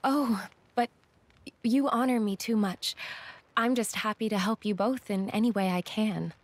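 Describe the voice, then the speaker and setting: An actress voicing a gentle, humble, devoted female attendant in a video game, soft-spoken and deferential. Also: medium pitch, moderate pace, dry recording, close to an everyday voice. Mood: humble, happy. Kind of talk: video game cutscene acting.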